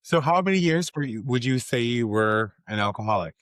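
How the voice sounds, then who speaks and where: A man's voice: mid-range voice, animated, fairly quick, a bit bright, no echo. A man hosting an interview podcast, asking questions and putting in brief supportive remarks. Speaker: podcast host.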